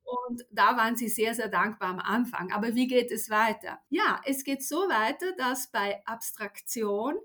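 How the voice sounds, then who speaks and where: High-pitched Italian-born woman explaining emphatically. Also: moderate pace, hardly any pauses, sharp tone. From Italy. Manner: emphatic, didactic, often adds tag "ja". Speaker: neuroscientist and language teacher, expert guest. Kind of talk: explanatory expert interview.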